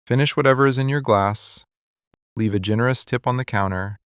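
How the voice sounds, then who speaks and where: A man playing a possessive, menacing mafia boss in a boyfriend ASMR roleplay, speaking in a controlled, deliberate, quietly commanding way. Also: on the low side, creaky, no room sound, studio quality. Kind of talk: boyfriend ASMR roleplay, scripted dramatic monologue.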